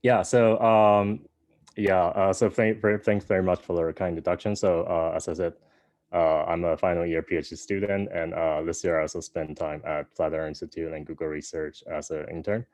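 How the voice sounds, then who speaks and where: A young man from Taiwan giving an online academic talk, soft-spoken with many fillers and restarts, over a video call. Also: low-pitched, little pitch movement, a little fast, dry recording. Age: young adult. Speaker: guest speaker.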